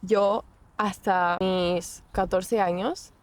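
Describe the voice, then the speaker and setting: A young woman chats in an unhurried, halting way, often stopping to restart a thought. Her voice sits at an ordinary middle pitch. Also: steady tone. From Portugal. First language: Portuguese. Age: young adult. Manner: hesitant, many restarts and fillers. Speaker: guest. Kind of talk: casual interview.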